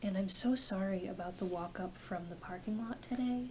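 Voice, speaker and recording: A woman playing a kind, reassuring doctor in a soft-spoken ASMR roleplay, talking in a gentle, hesitant, conversational way. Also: mid-range voice, normal speed, a little hiss or hum, natural voice. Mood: kind, welcoming. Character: kind, reassuring doctor who treats werewolves.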